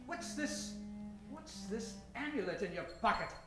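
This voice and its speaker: Adventurer professor female player: bookish, stern, raised pitch, old-time radio comedy style, music underneath. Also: sing-song, a little slow. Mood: scolding. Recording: echoey stage, music behind.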